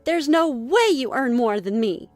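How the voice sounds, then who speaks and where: Woman putting on a furious young mother, haughty, accusing, screechy, raised high pitch, audio story character. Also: lively intonation, a little slow, no room sound, soft background music. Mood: scornful anger. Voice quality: shrill, screechy when shouting. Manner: accusing, outraged shouting.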